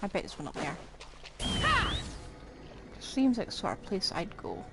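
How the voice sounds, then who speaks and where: A woman's voice: medium pitch, wildly swooping pitch, measured pace, loud music behind the voice. A woman giving casual live gameplay commentary, chattily thinking aloud to herself. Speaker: game streamer. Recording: clearly noisy background.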